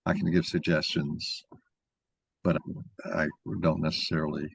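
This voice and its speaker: A man moderating an informal discussion, talking in a halting, rambling way as he thinks aloud. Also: very deep voice, flat delivery, vocal fry, slightly rough. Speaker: moderator of an online mock trial.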